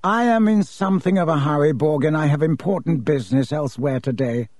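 A man voicing a cold, haughty aristocratic wizard father who speaks slowly and disdainfully. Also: a little high, a little mellow, no room sound, close to an everyday voice. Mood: curt. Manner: slow, clipped and disdainful.

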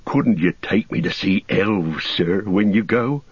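A man's voice: sits low, a little slow, husky, dry recording, lo-fi sound. A man voicing an eager, fretful young male hobbit gardener who talks in a humble, rustic, flustered way. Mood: eager earnest. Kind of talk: audiobook character voice.

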